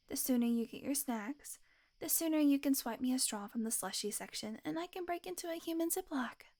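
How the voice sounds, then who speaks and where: A woman's voice: on the high side, a little mellow. A woman playing a flirty, sarcastic female vampire passenger in an audio roleplay, with a teasing, wry manner. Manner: teasing, wry, casual swearing.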